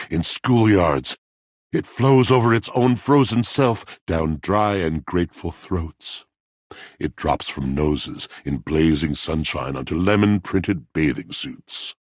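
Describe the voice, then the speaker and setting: An actor playing a brooding, melancholy washing machine in a video game, a wounded poet with a gravelly rumble and florid, pompous, theatrical delivery. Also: male voice, dry recording, clean studio sound, exaggerated voice. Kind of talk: video game character voice acting.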